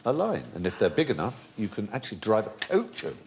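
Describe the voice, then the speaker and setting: A man's voice: on the low side, wildly swooping pitch, quick pace, vocal fry, a little hiss or hum. A man with an English accent hosting a comedy panel show, explaining things in an erudite, easily amused way. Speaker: panel show host, quizmaster.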